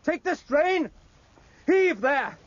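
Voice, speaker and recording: A man's voice: extremely high pitch, sing-song, slow pace, heavily acted. A man playing a harried, gloomy British ship's commander in a radio drama, terse and testy but authoritative. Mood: tense, commanding. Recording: muffled old radio recording, faint noise.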